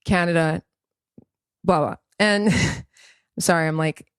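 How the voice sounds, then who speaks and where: A woman's voice: fairly low pitch, steady tone, slow pace, clean tone, no echo. A woman from Israel co-hosting a podcast, explaining at length in an earnest, rambling way with restarts. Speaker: podcast co-host. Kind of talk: casual podcast chat.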